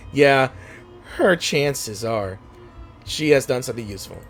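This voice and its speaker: Lively-pitched man doing game commentary. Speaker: gaming streamer. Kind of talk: casual game playthrough commentary, reading choices aloud. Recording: faint game music behind.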